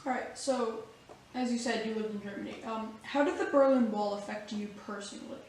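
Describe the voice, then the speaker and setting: A woman's voice: fairly high pitch, brisk, darkish tone, a little hiss or hum. A young woman reading out prepared interview questions in a polite, formal manner. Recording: echoey room, far from the mic.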